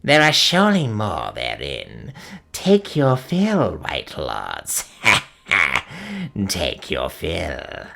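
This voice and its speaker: A woman voicing a malevolent ancient witch, croaking slowly and gloating as she talks. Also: very deep voice, wildly swooping pitch, sharp tone, pitched below the performer's own voice, heavily acted.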